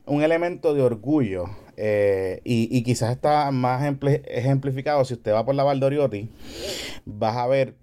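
A man's voice: mid-range voice, moderate pace, mellow, clean tone. A man from Puerto Rico with a Puerto Rican accent, explaining things casually as a podcast guest, with colloquial asides and bits of English slang. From Carolina, Puerto Rico.

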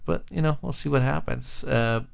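A man's voice: medium pitch, normal speed, gravelly. A man working as a film director, talking casually in an interview, rambling with many restarts. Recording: dull lo-fi recording.

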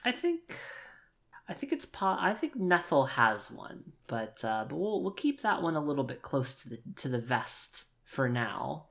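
A woman's voice: sits low, big pitch swings, unhurried, vocal fry. A woman improvising narration for a tabletop roleplaying game, thinking aloud and often repeating her phrases as she finds the words. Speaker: player in an actual play tabletop game.

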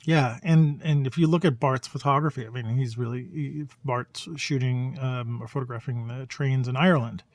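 A man talks casually as a podcast host, with a mid-pitched voice. He often pauses and searches for the next word, and the sound is close and dry. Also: fairly even intonation, no echo. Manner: halting, searching for words. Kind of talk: casual conversational podcast chat about photography.

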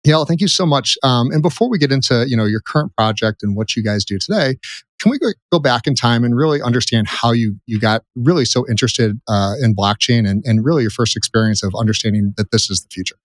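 Relaxed male podcast host, dry sound. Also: medium pitch, fast talker, no room sound. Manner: relaxed, lots of "uh" and "you know". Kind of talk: casual podcast interview.

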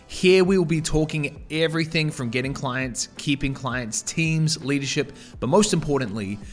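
A man with a New Zealand accent, host, reading a polished, upbeat scripted podcast intro. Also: medium pitch. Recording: music bed under voice.

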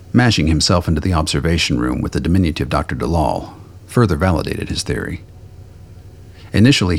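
A man reading scripted dramatic fiction as a narrator, with a gravelly, husky voice. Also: a bass voice.

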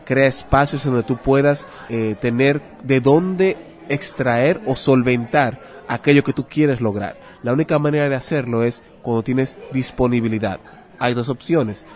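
A man's voice: medium pitch, a little mellow. A man with a Dominican Spanish accent explaining as an interview guest, in a didactic way with rhetorical questions and examples. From Dominican Republic. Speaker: guest, personal finance adviser.

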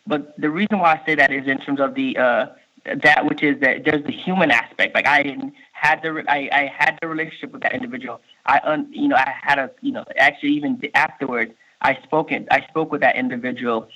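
A man in his thirties, born in Haiti and raised in Miami, with a Miami accent, answering interview questions in a halting way, full of fillers and restarts. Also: mid-range voice, fairly even intonation, bright, forceful tone. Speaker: state workforce official, guest. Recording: muffled lo-fi recording.

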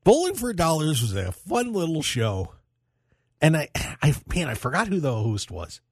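A man's voice: on the high side, huge pitch swings, normal speed, breathy edge, no echo, studio quality. An American man hosting a casual sports talk radio show in a jokey, rambling way. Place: dry radio studio.

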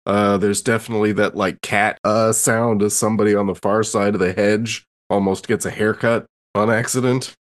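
A man in casual table talk as a player in a roleplaying game, halting as he thinks aloud. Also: on the low side, steady tone.

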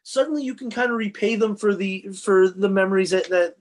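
A man co-hosting a podcast, talking casually about screen entertainment in a rambling, halting way that often circles back on itself. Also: high-pitched. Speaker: podcast co-host. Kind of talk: casual panel chat about TV and film.

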